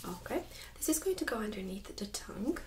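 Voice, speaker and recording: A woman in her thirties speaking gently and very softly, often near a whisper, in an ASMR medical role-play as an examiner. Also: mid-range voice, big pitch swings, fairly quick, a little hiss or hum. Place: small indoor room set up as exam office.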